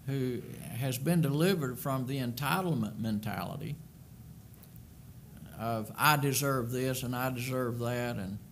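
Earnest older preacher at a pulpit. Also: male voice, mid-range voice, unhurried, vocal fry, a little hiss or hum. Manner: earnest, emphatic, repeats words while building a point. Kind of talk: sermon. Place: church sanctuary at a wooden pulpit. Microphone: pulpit microphone.

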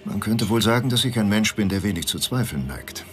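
A man's voice: lowish voice, lively intonation, fairly quick, faint music behind, close to an everyday voice. An actor in a German radio drama playing a self-important male eyewitness, pompous, sententious and utterly certain. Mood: self-righteous.

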